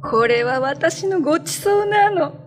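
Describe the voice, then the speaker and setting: A woman's voice: extremely high pitch, expressive, a little slow, over music. A woman playing a young shapeshifting female cat, devoted and secretly savage, with polite, earnest diction in a radio drama. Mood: sly.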